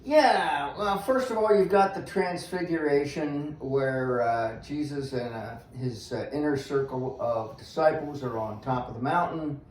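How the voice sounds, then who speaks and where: An older man, in his sixties or above, casually discussing the Bible in a halting, plain-spoken way and thinking aloud with pauses. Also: a little high. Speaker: guest on a church read-along discussion. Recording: echoey room, faint noise.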